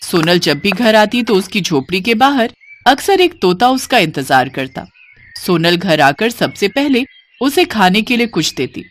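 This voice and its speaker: A woman's voice: mid-range voice, very animated, a little slow, a little hiss or hum. A woman narrating a scripted cartoon story as the storyteller.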